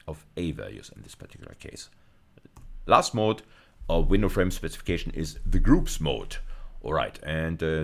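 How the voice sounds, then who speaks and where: A male university lecturer speaks in a very low, deep register. His intonation moves up and down in a lively way as he explains. He works methodically, restating phrases to stress them. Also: creaky. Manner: methodical, repeats phrases for emphasis. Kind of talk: explanatory video lecture with emphatic repetition.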